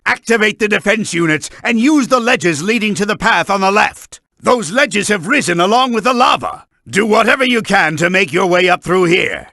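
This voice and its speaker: A man voicing a pompous, boastful mad scientist villain in a video game, with a gravelly, forceful voice and theatrical, commanding delivery. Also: sits high, full character voice. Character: boastful, scheming middle-aged male mad scientist villain, bombastic.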